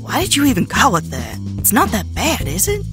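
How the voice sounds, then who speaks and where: A woman's voice: fairly high pitch, huge pitch swings, a little fast. The blunt best friend in a cartoon dub, a woman acting, wry and exasperated, lilting widely over music. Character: sassy, exasperated young woman. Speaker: voice actor in a comic dub. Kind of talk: animated comic dub acting. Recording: music behind the voice.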